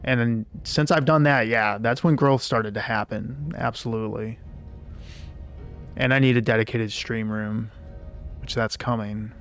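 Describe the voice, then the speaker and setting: A male streamer with a raspy voice, talking through a game in a relaxed, rambling way and often restarting his sentences. his voice sits at a middling pitch. faint game music plays underneath. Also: unhurried. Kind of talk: casual gameplay commentary.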